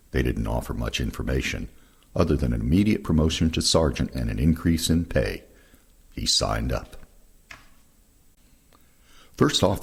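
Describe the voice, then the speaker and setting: An older man with a gravelly, husky voice reading first-person war recollections aloud. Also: extremely low pitch, darkish tone. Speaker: reader of veterans' oral histories.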